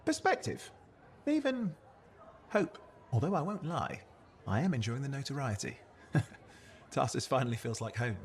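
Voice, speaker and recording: A man's voice: mid-range voice, sing-song, a little slow, pauses often. An actor playing a cocky young male sidekick in a video game, boastful and wry with a streak of wounded pride. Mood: giddy, boastful. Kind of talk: video game cutscene acting.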